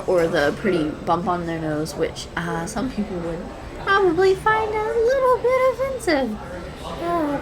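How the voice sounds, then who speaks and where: Woman acting an animated, shy, adorkable young woman. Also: a little high. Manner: nervous, hesitant, rambling, self-deprecating, giggly. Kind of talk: intimate audio roleplay addressed to the listener. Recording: coffee shop chatter behind voice. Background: coffee shop crowd sound effects.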